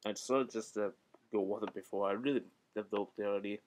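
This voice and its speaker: A young man in a home basement rambling through comic history in a deliberately bad, put-on Scottish accent, swearing and a bit tipsy. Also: fairly low pitch, steady tone, darkish tone. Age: young adult. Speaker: vlogger. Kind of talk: rambling comedic history vlog.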